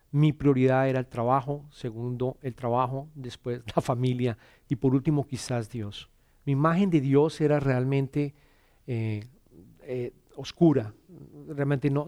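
A middle-aged Colombian man with a Bogotá accent, preaching to a congregation. His voice is mellow and warm at an ordinary middle pitch. He talks earnestly and haltingly, stumbling over repeated words. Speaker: church speaker giving testimony. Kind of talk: sermon and personal testimony.

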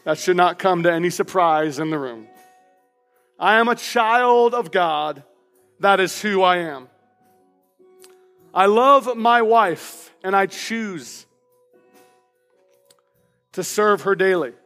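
A man preaching as pastor in a church, with a loud, passionate voice, reading personal declarations aloud with emphasis. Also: high voice, lively intonation, slow pace, long pauses, in a large hall, faint music behind. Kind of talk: sermon. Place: church sanctuary.

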